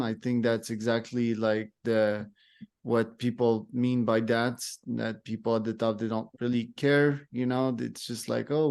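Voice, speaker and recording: A man's voice: mid-range voice, darkish tone. A man hosting a podcast interview, talking in a loose, rambling way with fillers. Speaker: podcast host. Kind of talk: casual interview talk.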